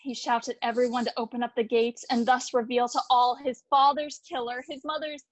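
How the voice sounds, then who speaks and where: An actress plays a horrified messenger from the palace in a Greek tragedy. Her voice is high and dry, telling the tale with dramatic, theatrical gravity. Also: little pitch movement, moderate pace, dry recording, lightly acted, low-quality recording. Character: horrified palace messenger reporting gruesome deaths.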